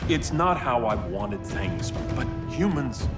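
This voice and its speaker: Game role: intense, self-righteous superhuman strongman, mid-pitched, highly dynamic, under loud score. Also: sing-song, slow, over loud music. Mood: grim, self-righteous. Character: authoritarian superhuman man, grimly self-righteous ruler. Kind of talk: dramatic video game cutscene acting. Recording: loud cinematic score over voice.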